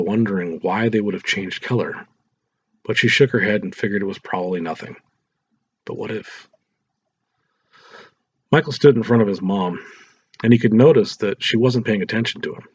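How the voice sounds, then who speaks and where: A man reads a story aloud as narrator. His voice is fairly low and husky. The home recording is dull and lacks crispness. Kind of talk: scripted audiobook narration.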